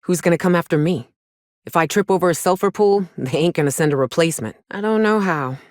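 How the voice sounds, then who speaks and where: A woman's voice: lowish voice, a little fast, no room sound, studio quality, lightly acted. A woman playing a weary, cynical but caring frontier woman in a video game, blunt and plainspoken with folksy, sweary talk. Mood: weary, doubtful. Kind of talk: video game character dialogue.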